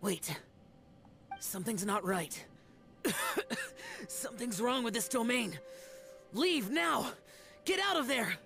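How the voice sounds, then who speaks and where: A man's voice: very high voice, wildly swooping pitch, slow pace, creaky, long pauses, sharp tone, soft background music, exaggerated voice. A man voicing a stern, terse young immortal demon slayer in a video game. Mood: urgent, grave.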